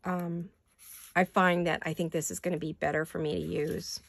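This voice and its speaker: Older woman with a gravelly, raspy voice. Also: lowish voice, little pitch movement, moderate pace, no room sound. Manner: rambling, chatty, thinks aloud while working. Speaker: craft vlogger. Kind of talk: casual crafting chat. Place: home craft studio. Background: neighborhood garbage-day banging.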